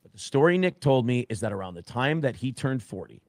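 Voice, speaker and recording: A man's voice: mid-range voice, big pitch swings, fairly quick, no room sound. A man in a played clip telling a story he heard firsthand, repeating and correcting himself as he goes. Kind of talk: casual stream talk.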